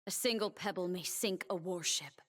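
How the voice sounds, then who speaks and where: An actress playing a solemn, determined young woman warrior in a video game, speaking in a measured, earnest, resolute way. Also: lowish voice, a bit bright. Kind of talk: scripted video game character voice lines.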